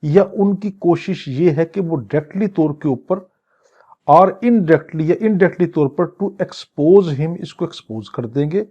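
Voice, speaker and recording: Male law tutor, fairly high voice, very slow and deliberate delivery. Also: warm tone, highs cut off. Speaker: law lecturer. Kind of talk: classroom lecture, reading English statute lines then explaining in Urdu.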